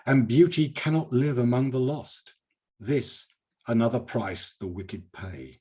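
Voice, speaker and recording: British man, husky and emphatic. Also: deep voice, dark tone. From Britain. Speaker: host of a poetry reading. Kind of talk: poetry recital and enthusiastic commentary.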